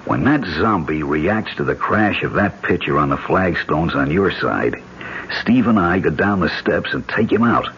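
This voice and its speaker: An actor with an American accent playing a tough, decisive ex-agent in a radio drama, speaking in terse, commanding slang. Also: male voice, low voice, animated, normal speed, slight background noise. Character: tough, decisive American ex-secret-service man leading an escape. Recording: dull old radio broadcast, loud music behind. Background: music.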